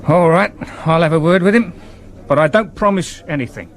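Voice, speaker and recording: A man's voice: on the high side, normal speed, lightly acted. A British man playing a loyal, wry and wary theatre dresser in a 1970s radio drama, chatty and informal. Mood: cautious, guarded.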